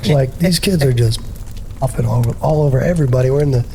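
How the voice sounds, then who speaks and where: Raspy, hoarse male musician guest, relaxed storyteller, mid pitch, faint background noise. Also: fast. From Rhode Island, US. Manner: easygoing, rambling, laughs often. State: hoarse from a cold. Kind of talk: casual podcast chat.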